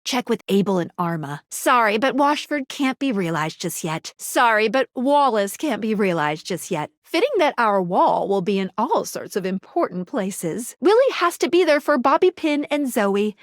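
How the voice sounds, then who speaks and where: An actress voicing a perky, cheeky guide character in a dating video game, with a chirpy, teasing and expressive delivery. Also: female voice, fairly high pitch, moderate pace, hardly any pauses, no room sound, clean studio sound. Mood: helpful, apologetic.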